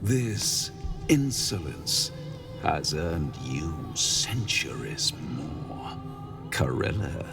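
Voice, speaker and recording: A man's voice: a bass voice, vocal fry, distant mic, full character voice. A man acting a haughty, menacing devil lord in a video game, with theatrical, grandiose delivery and precise diction. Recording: game audio with music behind.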